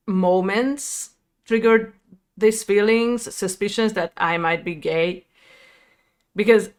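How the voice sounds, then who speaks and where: Slow-paced Hungarian woman with a clear voice. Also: mid-range voice. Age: 30s. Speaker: video creator. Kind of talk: personal storytime to viewers.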